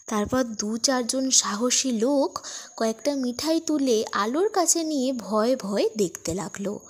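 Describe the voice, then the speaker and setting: Slow-paced woman storyteller, higher voice. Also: animated. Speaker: story narrator. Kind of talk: scripted audiobook narration of a children's folk tale.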